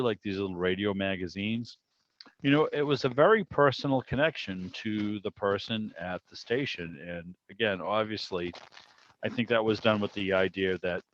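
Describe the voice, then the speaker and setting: A man hosting a club meeting on a video call, chatting casually in a rambling, conversational way. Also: fairly low pitch. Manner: rambling, conversational, frequent "you know". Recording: dull, roomless video call audio.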